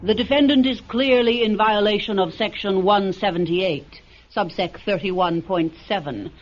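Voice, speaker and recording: A man's voice: sits high, moderate pace, lightly acted. A man playing a cool, officious presiding lord in a radio drama, formal in manner, on a dull vintage recording with some noise. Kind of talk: golden-age radio drama acting.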